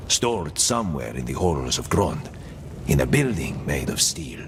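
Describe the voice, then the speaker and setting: A world-weary old prisoner in a video game. He muses and persuades in a low voice, slowly and deliberately, with faint noise in the background. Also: very animated, exaggerated voice. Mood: musing, weary. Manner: measured, musing, deliberate pauses. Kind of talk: dramatic video game acting.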